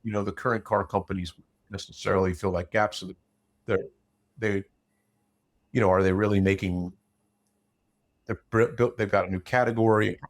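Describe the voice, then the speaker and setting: A man's voice: on the low side, fairly even intonation, moderate pace, long pauses. A man in a casual unscripted discussion, opinionated and blunt, rambling through broken-off sentences.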